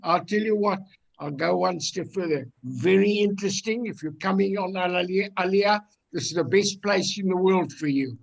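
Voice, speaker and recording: A man in his eighties with a South African accent, reminiscing casually in a halting way and repeating himself. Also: sits high, creaky. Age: 80s. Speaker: audience member on a virtual tour.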